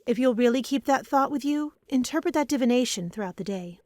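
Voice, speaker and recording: A woman plays a wise fantasy seer with a slightly high voice. She is patient and measured as she mentors, and the sound is free of any room echo. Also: lively intonation, a little mellow, lightly acted. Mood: gently instructive. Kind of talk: medieval fantasy audio drama acting.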